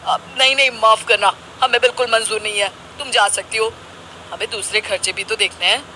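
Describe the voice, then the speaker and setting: A man's voice: sits high, fairly even intonation, brisk, sharp tone, slight background noise, poor audio. A man playing a naive, eager, thrifty young husband in a cartoon, with an exclaiming, argumentative manner. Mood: shocked, complaining.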